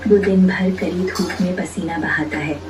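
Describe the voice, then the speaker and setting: A woman's voice: on the low side, fairly even intonation, unhurried, recorded from a distance, over music. A woman narrating a moral story in a scripted storytelling style.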